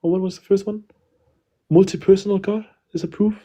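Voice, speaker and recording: Hesitant young man, husky and dark. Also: high-pitched, fairly even intonation, fairly quick. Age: young adult. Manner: hesitant, repeats himself, stumbles over words. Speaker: caller challenging the hosts. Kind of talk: live online debate.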